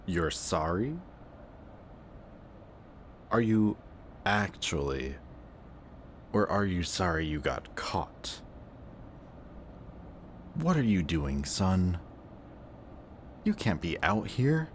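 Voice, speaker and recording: A man playing a sardonic, gruff but protective antihero villain in an intense, emotional audio roleplay. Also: low voice, sing-song, extremely slow, pauses often, slight background noise, heavily acted. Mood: upset, worried.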